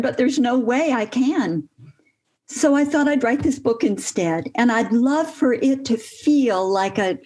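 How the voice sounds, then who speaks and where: A woman's voice: fairly high pitch, darkish tone. An older woman interviewed as an author, answering earnestly and graciously. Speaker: guest author.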